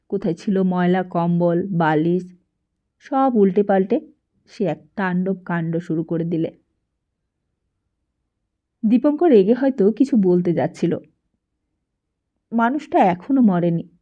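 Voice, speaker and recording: A woman reading a literary story aloud as an audiobook narrator, with a dramatic delivery and expressive pauses. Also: medium pitch. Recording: dull, lo-fi sound.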